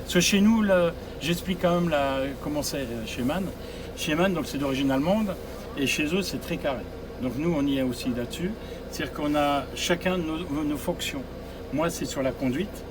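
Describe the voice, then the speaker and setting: A man's voice: on the high side, moderate pace, noisy background. A man explaining the controls of a coach to a group of drivers, practical and repeating his advice. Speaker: driving instructor presenting a coach. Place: inside a coach, outdoors nearby.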